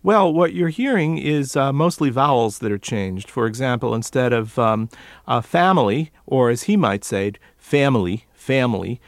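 A man's voice: medium pitch, moderate pace, no echo. A middle-aged man from New York, an accent coach, explaining in a radio interview and repeating words to demonstrate how accents differ.

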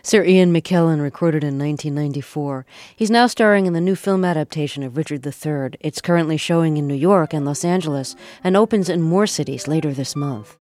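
Female radio interviewer, low dark tone, measured and precise. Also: dry recording. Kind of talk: radio interview questions and outro.

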